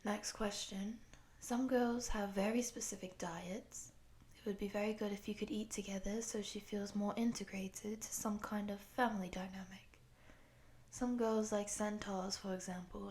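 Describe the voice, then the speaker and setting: A woman playing a polite, earnest program interviewer in a scripted roleplay, speaking softly and breathily in a measured, formal, official manner. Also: medium pitch, flat delivery, natural voice. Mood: polite, explanatory. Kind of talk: soft-spoken scripted roleplay audio.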